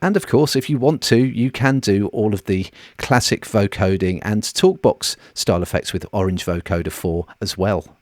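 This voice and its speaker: A man presenting an audio software walkthrough in a scripted, explanatory narration, recorded close on a voice-over mic. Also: lowish voice, normal speed, hardly any pauses, dry recording, soft background music.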